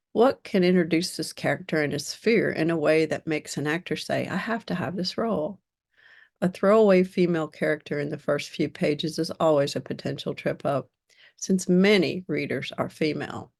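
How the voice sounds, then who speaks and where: A woman giving a prepared critique as a reviewer, in a measured, direct and instructive way. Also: low voice. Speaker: script reviewer.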